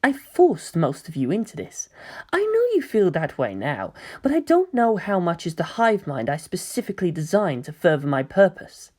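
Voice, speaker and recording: Young male antagonist, female reader: brooding, grandiose, world-weary. Hushed, grave, slightly low audiobook delivery. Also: huge pitch swings, normal speed. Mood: brooding regret. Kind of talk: dramatic audiobook character reading.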